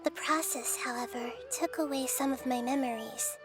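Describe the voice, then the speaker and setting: A woman voicing a gentle, wise, childlike nature goddess in a video game, speaking in a thoughtful, measured way. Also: high-pitched, music in the background, close to an everyday voice. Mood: thoughtful, concerned. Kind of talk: video game character dialogue.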